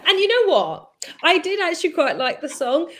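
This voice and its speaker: A young woman chatting casually as a co-host on a panel, lively and quick to laugh, heard over a video call. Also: very high voice.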